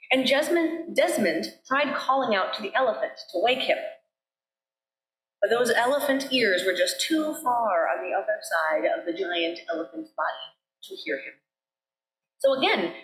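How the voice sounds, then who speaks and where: A woman minister tells a story with a very animated, fairly high voice. She is deliberate and leans on repeated phrases. The sanctuary around her adds a clear echo. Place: church sanctuary. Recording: roomy, echoey sanctuary.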